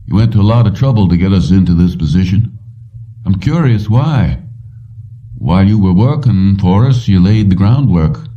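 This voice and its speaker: A man's voice: sits low, lively intonation, normal speed, warm tone, pitched above the performer's own voice. A man voicing an older male company boss in a story, strained and wary, on a dull recording. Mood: wary questioning. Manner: tense, pressed delivery. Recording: muffled, distant-sounding dull recording.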